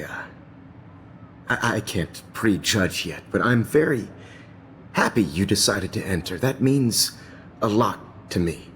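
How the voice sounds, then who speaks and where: A man's voice: on the low side, fairly bright tone, a little hiss or hum, heavily acted. A man voicing a middle-aged art teacher in a video game who hesitates and stammers, mild-mannered but secretly sinister.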